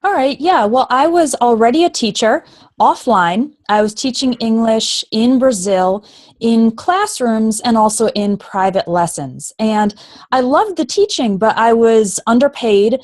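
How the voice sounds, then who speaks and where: Teacher-turned-founder guest, woman, crisp fluent delivery, slightly high, dead-dry mic. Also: lively intonation, a little slow, darkish tone, dry recording. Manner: clear, fluent storytelling. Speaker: online English teacher, guest. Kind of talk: interview answers.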